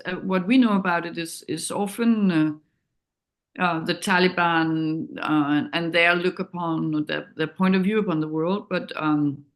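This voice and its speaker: A woman from Denmark with a Danish accent, speaking as a film director in an interview, reflective and searching for words. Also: fairly low pitch. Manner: hesitant, thoughtful, searching for words. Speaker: documentary director, interview guest.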